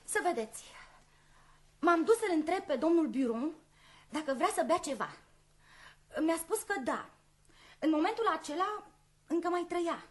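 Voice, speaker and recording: A chatty housemaid in a radio play, her high voice swinging up and down. She is eager and a little self-important, searching for words as she confides. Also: lively intonation, long pauses. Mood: confiding, hesitant.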